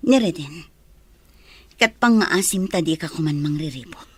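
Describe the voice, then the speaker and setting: Husky-voiced, firm young bride-to-be. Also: female voice, low voice, animated, moderate pace. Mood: firm, rejecting. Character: firm young woman rejecting a suitor, engaged to another. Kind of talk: Ilocano radio drama acting.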